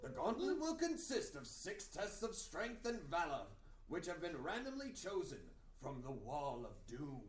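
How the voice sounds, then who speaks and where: A man performs a grandiose Renaissance fair herald in a comedy script reading. His high voice proclaims in mock-archaic flourishes with lively pitch swings. The recording is roomy and distant from the mic. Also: unhurried, few pauses, slight background noise. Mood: grand proclaiming. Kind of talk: comedic screenplay table read.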